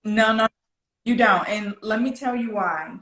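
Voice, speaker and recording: A woman's voice: mid-range voice, a little slow, a bit bright, echoey room. A woman with an American Southern accent coaching one-to-one, explaining things directly and checking in with questions. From southern United States. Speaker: English pronunciation coach.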